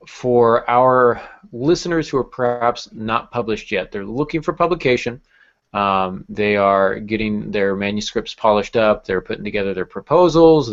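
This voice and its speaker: Male host for casual discussion show, deeper register, slightly dulled audio. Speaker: podcast host. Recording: dull, lo-fi podcast audio.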